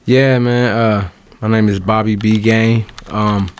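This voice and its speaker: An American man talking casually on a podcast in halting, thoughtful phrases. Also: lowish voice, level tone, slight background noise. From Indianapolis, USA. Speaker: podcast guest, music artist. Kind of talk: casual conversational podcast introduction.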